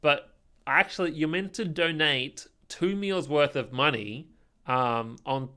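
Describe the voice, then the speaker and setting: Higher-pitched man, casual storyteller. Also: slow pace, bright, forceful tone, smooth. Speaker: podcast co-host.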